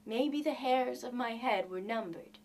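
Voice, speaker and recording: A woman's voice: a little high, unhurried, darkish tone, distant mic, a little hiss or hum, poor audio. A woman voicing a devoted, eager young wife in a storybook reading, earnest and gushing. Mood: tender. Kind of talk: storybook character dialogue.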